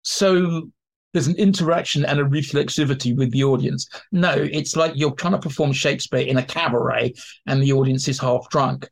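A man speaking as an expert guest, explaining informally in an animated way and slipping into acted-out examples. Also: medium pitch, moderate pace, creaky. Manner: animated, acts out imagined quotes. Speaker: expert guest, theatre historian. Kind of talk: informal explanatory interview talk.

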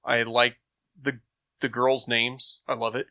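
A man's voice: medium pitch, level tone, unhurried, pushed and bright, dry recording. A man chatting casually and enthusiastically as a podcast panelist, effusive about what he likes.

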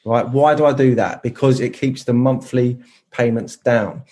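A man's voice: medium pitch, fairly even intonation, normal speed, a little mellow. Chatty man in his thirties. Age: 30s. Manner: enthusiastic, many restarts and fillers. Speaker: podcast guest. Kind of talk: casual chat.

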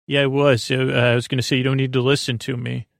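Gravelly, meandering man lulling listeners to sleep. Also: mid-range voice, level tone, fast, no echo, studio quality. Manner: meandering, hesitant, repetitive, with murmured asides. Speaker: podcast host. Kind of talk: rambling bedtime podcast monologue meant to lull listeners to sleep.

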